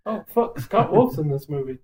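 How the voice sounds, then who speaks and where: A man's voice: on the high side, animated, moderate pace, a little mellow. A man co-hosting a podcast, reacting eagerly in casual, sweary chat. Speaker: podcast co-host.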